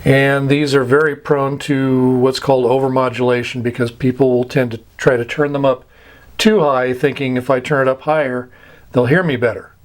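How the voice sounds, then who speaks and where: Guy teaching radio stuff, informal, careful halting delivery, repeats words to make the point. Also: mid-range voice, level tone. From United States. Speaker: amateur radio instructor. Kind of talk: informal explanatory lecture.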